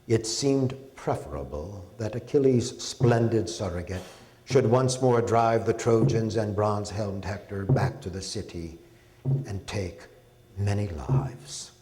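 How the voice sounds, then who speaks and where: A man in his sixties giving a solemn, deliberate dramatic recital of epic poetry in a hall. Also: on the low side, a little mellow. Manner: measured, deliberate, solemn phrasing. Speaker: professor and translator reading. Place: indoor hall, public reading. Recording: echoey hall with long reverb.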